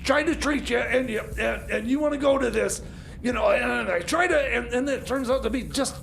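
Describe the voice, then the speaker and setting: A man playing a high-strung time machine mechanic in comedy radio theater, whining and stammering his complaints in a high, gravelly voice. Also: moderate pace, a bit bright, a little hiss or hum, heavily acted. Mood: exasperated.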